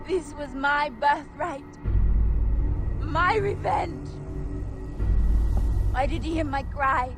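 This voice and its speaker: An actress playing an anguished, vengeful priestess of ancient Egypt in a video game, with a tragic, pleading delivery. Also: female voice, sits high, slow, long pauses. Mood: bitter despair. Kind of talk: dramatic video game acting. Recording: music behind the voice.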